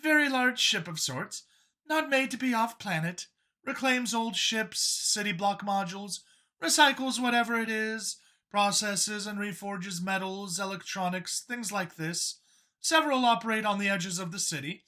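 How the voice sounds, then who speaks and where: A female avian alien pilot played by a male narrator speaks in a raised, bright voice. She is brisk and matter-of-fact, explaining things in clipped phrases like a science fiction audiobook character. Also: sits high, voice pushed up.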